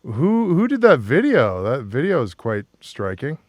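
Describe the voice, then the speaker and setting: A man's voice: mid-range voice, wildly swooping pitch, a little slow, dry recording, studio quality. A man with a North American accent hosting a casual chat interview as a talk show host. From Portland, Oregon, United States.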